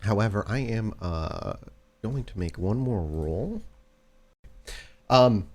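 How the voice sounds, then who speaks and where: Low-voiced man narrating as game master. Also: animated, takes it slowly, darkish tone. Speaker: game master of a tabletop roleplaying podcast. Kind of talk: improvised scene narration and game refereeing.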